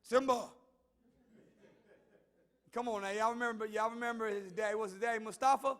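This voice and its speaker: A man preaching a sermon to a congregation, calling out to them and building to shouts. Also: high-pitched, fairly even intonation, moderate pace. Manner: call-and-response preaching, rising to shouts. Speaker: minister.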